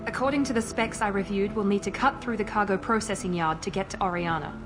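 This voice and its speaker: Woman voicing a cool female operative, crisp, controlled, mid pitch, game music behind. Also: fast talker, lightly acted. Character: cool, guarded female operative and squad mate. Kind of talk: video game cutscene dialogue. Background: game score music.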